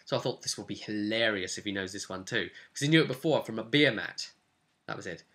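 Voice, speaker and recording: Guy doing a casual rpg session recap, bright forward voice, animated storyteller. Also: on the low side, fast. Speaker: tabletop game master.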